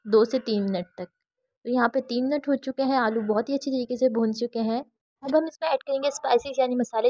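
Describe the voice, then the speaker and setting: A woman's voice: on the high side, darkish tone, no room sound, dull audio. A woman hosting a cooking tutorial, talking through each step in an easygoing, chatty way. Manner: easygoing, chatty, mixes English words into Hindi-Urdu. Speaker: cooking channel host.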